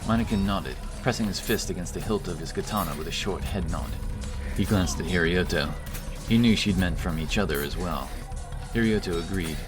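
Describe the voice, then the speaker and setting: A man's voice: low voice, brisk. A man reading dramatic scripted fantasy narration as an audiobook storyteller. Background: music.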